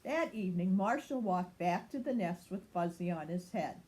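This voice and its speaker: A woman's voice: on the low side, expressive, normal speed, warm tone. An older woman, a grandmother, reading a children's storybook aloud.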